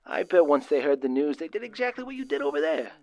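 Nervous, kindly young working man, played by a male actor, halting and bashful, widely swinging intonation, old-time radio drama. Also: a little high, big pitch swings, warm tone. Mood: reassuring, hesitant. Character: nervous, kindly young factory worker caught in invasion. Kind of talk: 1930s-style radio play acting.

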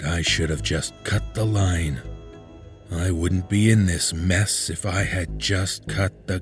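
A man narrating a first-person horror story in a terse, cold, clipped way. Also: sits low.

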